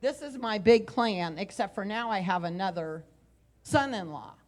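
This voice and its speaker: Casting: woman in her forties, coach on a conference stage with a handheld mic, loud and chatty, repeats herself. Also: mid-range voice, expressive, normal speed. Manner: loud, conversational, with repeated phrases. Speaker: motivational speaker and coach. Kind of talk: live motivational talk. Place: hotel conference room stage. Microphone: handheld microphone.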